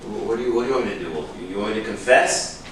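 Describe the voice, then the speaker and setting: A man's voice: lowish voice, lively intonation, racing, natural voice. A man playing a cool, defiant screenwriter with a sardonic, challenging manner in a staged reading. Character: cool, defiant male screenwriter suspected of violence. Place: room at a live staged reading. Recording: echoey room, distant mic, noisy.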